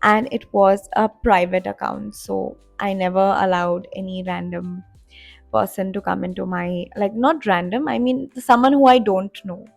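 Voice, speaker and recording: A woman's voice: mid-range voice, steady tone, a little slow, creaky, dry recording, faint music behind. A woman in her twenties, a content creator, telling her story in a casual, rambling vlog chat full of fillers and restarts. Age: 20s. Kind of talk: casual vlog talk to camera. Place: home in Dubai.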